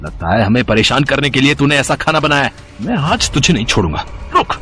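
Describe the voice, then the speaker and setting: A man's voice: medium pitch, sing-song, fairly quick, fairly bright tone, soft background music. A man acting a cruel husband in a moral story, scolding in an angry, sarcastic, bullying way. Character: cruel, greedy husband scolding his wife.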